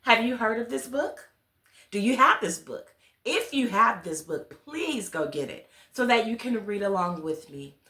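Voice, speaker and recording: Female children's storyteller, higher-pitched and very animated, chatty asides to young listeners, roomy echo. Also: unhurried. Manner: addresses children directly with questions and exclamations. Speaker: children's read-along storyteller, host. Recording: roomy, echoey sound.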